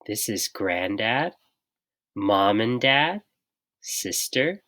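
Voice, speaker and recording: A reader giving an expressive, unhurried children's picture book read-aloud with dramatic pauses. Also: medium pitch. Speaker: storybook reader.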